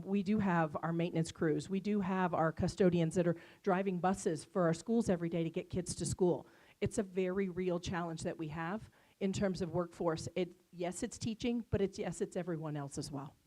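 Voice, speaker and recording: A woman, a superintendent, speaking in a measured, conversational way on a panel. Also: lowish voice, mellow, poor audio. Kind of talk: panel discussion.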